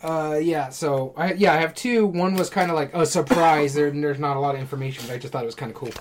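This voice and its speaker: A man's voice: on the high side, fast talker. A man co-hosting a casual podcast, talking in an easygoing way with dry understatement. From Southern California. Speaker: podcast co-host.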